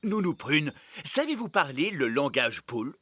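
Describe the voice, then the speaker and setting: A man's voice: a little high, big pitch swings, moderate pace, pushed and bright, slightly rough, no room sound, exaggerated voice. A man voicing a pompous, fussy middle-aged fairy king in a children's cartoon, commanding and easily exasperated, with noise behind him. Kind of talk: animated children's cartoon dub.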